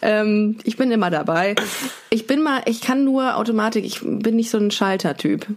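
A woman's voice: a little high, lively intonation, normal speed. A woman hosting a podcast, chatting casually in a joking, tongue-in-cheek way. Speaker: podcast host. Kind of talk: casual comedic podcast chat.

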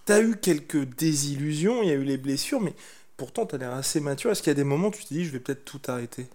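A male interviewer with a slightly high voice. He keeps things conversational and informal, rewording his questions as he goes and chiming in often. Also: animated, fast, a little mellow. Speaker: interviewer, host.

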